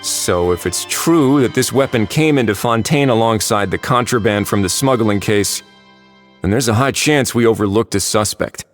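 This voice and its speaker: An actor playing an easygoing prison warden in a video game, talking in a casual, wry way. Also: male voice, on the low side, normal speed, faint music behind, lightly acted. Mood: wry, relaxed.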